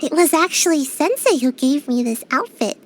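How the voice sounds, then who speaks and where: A woman's voice: high voice, expressive, moderate pace. A woman voicing a tiny, sleepy young ninja girl in a game, drowsy and a little whiny, shy but grumpy. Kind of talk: game character voice lines.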